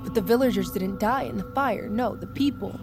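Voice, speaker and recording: A young woman actor playing a haunted, menacing army private in an audio drama, delivering a deliberate, intense monologue. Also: a little high, lightly acted. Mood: grim, restrained. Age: young adult. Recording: loud music bed behind.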